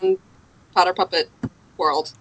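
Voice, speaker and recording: A woman's voice: fairly low pitch, wildly swooping pitch, unhurried, a bit bright, no echo, rough recording. A woman co-hosting a podcast in casual banter, with a wry, teasing way of talking. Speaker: podcast co-host.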